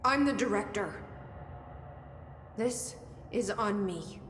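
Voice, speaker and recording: A woman's voice: medium pitch, lively intonation, slow pace, a bit bright, slight background noise. Determined woman director in echoey hall. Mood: resolute. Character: determined, self-blaming young female bureau director. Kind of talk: video game cutscene acting. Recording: echoey hall, music behind.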